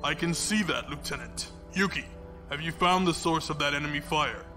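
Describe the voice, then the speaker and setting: A man's voice: a little high, moderate pace, sharp tone, soft background music. Man acting a haughty, proud space fleet commodore. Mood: tense, commanding. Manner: haughty, commanding. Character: proud, stubborn male fleet commodore, cold villain. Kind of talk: space opera audio drama acting.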